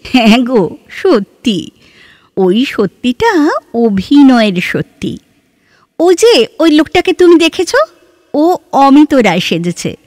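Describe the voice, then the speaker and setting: A woman playing an articulate, wistful, reflective woman in a literary audio drama, with measured, theatrical phrasing. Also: sits high, big pitch swings, a little mellow, no room sound, clean studio sound, heavily acted. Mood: explaining, teasing.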